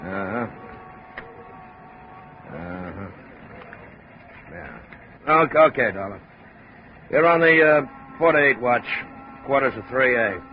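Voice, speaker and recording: An actor playing a bulky, surly chief officer of a freighter in a radio drama, curt and offhand. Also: male voice, mid-range voice, expressive, slow, pauses often. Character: bulky, gruff, lazy male ship's chief officer. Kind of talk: 1950s radio drama acting. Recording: muffled, noisy old radio recording.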